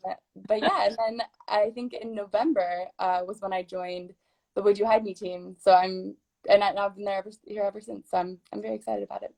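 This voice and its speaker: A woman's voice: mid-range voice, brisk, creaky. A woman in her twenties, a film producer, chatting casually as a guest in a live-streamed interview. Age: 20s. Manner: relaxed, chatty storytelling. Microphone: live video stream from home.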